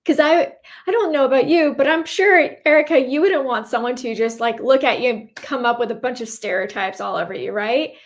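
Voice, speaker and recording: A woman's voice: high voice, a little fast, few pauses. A woman guest on a podcast, explaining her views in an earnest, conversational way and often checking in with the listener. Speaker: podcast guest, cybersecurity professional. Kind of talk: casual conversational interview answers.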